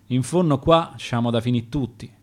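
A man with a Roman accent reciting his own rhymed sonnets in a measured, theatrical way for a radio broadcast. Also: medium pitch.

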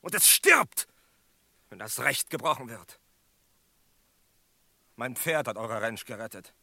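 An actor playing a stern, solemn outlaw in a German Western radio play, tense and insistent. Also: male voice, mid-range voice, expressive, slow, vocal fry, pushed and bright, dry recording, exaggerated voice. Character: stern, unyielding male Western outlaw demanding his sentence.

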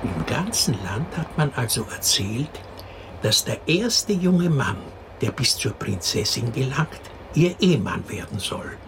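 An actor in a radio drama playing a storyteller who patiently tells a child a princess fairy tale, expressive and explanatory. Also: medium pitch, unhurried. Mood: patient storytelling. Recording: noticeable background noise.

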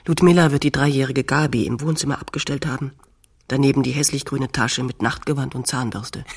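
Husky, impatient woman. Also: rumbling low, steady tone, brisk, exaggerated voice. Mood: narrating, matter-of-fact. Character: impatient, practical woman urging her weary partner on. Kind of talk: radio play dialogue.

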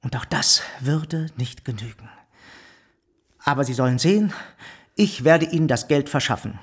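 An actor playing a smug, lecherous bourgeois in a radio drama, speaking with patronizing flattery and pomposity. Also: male voice, mid-range voice, normal speed, slightly rough. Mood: scornful, scheming. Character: smug, lecherous, condescending wealthy bourgeois suitor. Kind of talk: literary radio drama acting.

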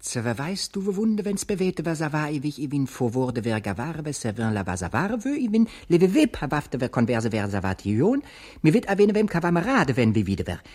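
A theatrical reciter of nonsense language for radio. The voice is husky and sits in a middle register, swinging widely up and down. Each babbled syllable is playfully over-articulated. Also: medium pitch, very animated, fairly quick, a little mellow. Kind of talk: literary wordplay recital in a radio play.

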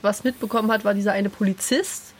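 A woman's voice: mid-range voice, fairly even intonation, fast, breathy edge. A woman chatting casually and nostalgically in a group, with an enthusiastic, gushing manner. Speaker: podcast co-host.

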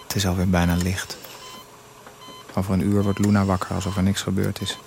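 A low, gravelly, blunt husband. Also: male voice, little pitch movement, a little fast, darkish tone, a little hiss or hum. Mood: weary, bleak. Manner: blunt, clipped, crude sentences. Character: blunt, bitter husband of a dying wife, narrating. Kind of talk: radio drama, confessional narration. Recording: music behind the voice.

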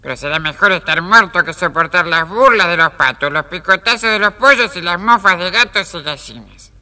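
A man's voice: high voice, animated, moderate pace, sharp tone, heavily acted. A man playing a forlorn, self-pitying young ugly duckling in an audio storybook. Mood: forlorn, resolute. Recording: long reverberant hall sound.

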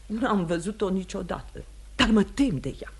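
An actress playing a proud, anxious, devout middle-aged mother of high society in a theatrical radio drama, with a genteel, emotional way of talking. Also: husky. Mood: anxious, indignant. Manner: genteel, emotional, slips into French.

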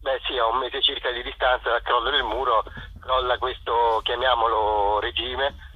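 A man speaks as a guest on a phone interview. His voice is husky, and the line makes it dull and lo-fi. He talks spontaneously. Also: mid-range voice, little pitch movement, moderate pace. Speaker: theater author and actor, interview guest. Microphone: telephone call.

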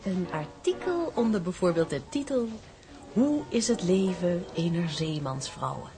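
A sly, elegant lady journalist in a radio drama. She is coquettish and mocking, with lively swoops in her voice and music in the background. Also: lowish voice, measured pace. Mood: sly, curious. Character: flirtatious, sly female journalist, elegant and scheming.

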